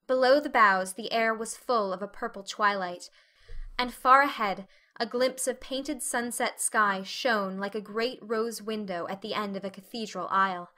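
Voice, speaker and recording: Female audiobook narrator, crisp bright tone, slightly high pitch, expressive storytelling, measured delivery. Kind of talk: expressive scripted literary narration.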